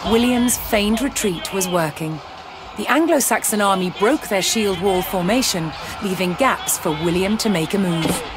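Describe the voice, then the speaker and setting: A narrator reading a scripted historical account in a measured, dramatic storytelling manner. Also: medium pitch. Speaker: documentary narrator. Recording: loud music behind the voice. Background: loud background music.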